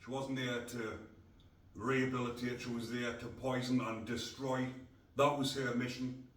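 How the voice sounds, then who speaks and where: A man's voice: medium pitch, level tone, normal speed, a bit bright. A middle-aged man from North East England, hosting a casual podcast in a blunt, rambling way. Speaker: podcast host. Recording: echoey room, distant mic.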